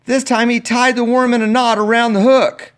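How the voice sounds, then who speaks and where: A man reading a picture book aloud in an expressive, playful way, talking directly to young listeners. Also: very high-pitched, normal speed. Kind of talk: animated children's storybook read-aloud.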